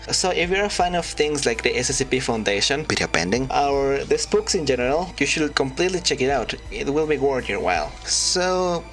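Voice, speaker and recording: A man's voice: mid-range voice, fairly bright tone. Man narrating a video essay over music.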